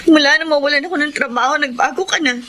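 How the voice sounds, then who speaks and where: A woman's voice: high voice, fast talker, background noise, close to an everyday voice. An actress playing a long-suffering, dutiful young woman in a Filipino radio drama, speaking in a plaintive, deferential way. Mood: hurt, reproachful. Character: long-suffering, pleading young live-in partner, hurt and dutiful.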